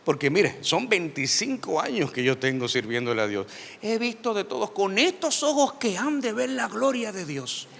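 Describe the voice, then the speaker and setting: A middle-aged man preaching a lively sermon to a church, emphatic and repetitive, with touches of humor. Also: high voice, moderate pace, vocal fry, long reverb tail, slight background noise. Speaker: pastor.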